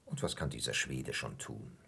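Very raspy, spiteful schemer. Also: male voice, rumbling low, normal speed, pushed and bright, heavily acted. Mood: conspiratorial. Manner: conspiratorial, goading, resentful. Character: Schomberg, spiteful scheming lecherous man. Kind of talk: German radio drama acting.

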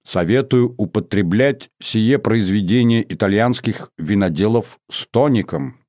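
A man's voice: fairly low pitch, measured pace, darkish tone, no echo, clean studio sound, lightly acted. A man voicing a confident teenage boy in an audiobook, breezy and playfully pompous, with mock-playboy nonchalance. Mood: nonchalant. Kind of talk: audiobook character voice.

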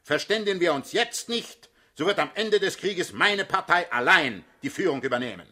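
An actor playing a cool, hard-headed party boss in a German radio drama, speaking with curt authority. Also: male voice, fairly high pitch, lively intonation, normal speed, bright, forceful tone. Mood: hard, insistent. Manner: authoritative, curt, clipped orders.

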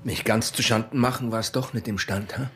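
An actor playing a gruff, shamed but resolute border guard in a radio drama. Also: male voice, medium pitch, steady tone, brisk, slight background noise, lightly acted.

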